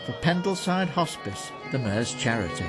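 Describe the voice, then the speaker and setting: A man's voice: mid-range voice, very animated, moderate pace. A man reads scripted narration for a local newsreel as its narrator. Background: music.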